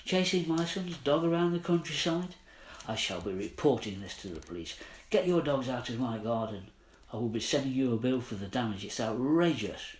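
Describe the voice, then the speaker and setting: A man voicing a furious, indignant middle-aged father in a children's audiobook, shouting in a roomy, noisy recording. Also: mid-range voice, lively intonation, normal speed, lightly acted. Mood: outraged. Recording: roomy, noticeable background noise.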